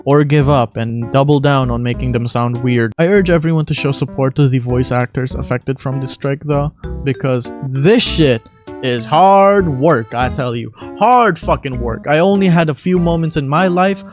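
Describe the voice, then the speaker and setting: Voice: medium pitch, moderate pace. A young adult video host giving a casual, self-deprecating announcement to viewers, with some casual swearing. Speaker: video host and amateur voice impressionist. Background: music.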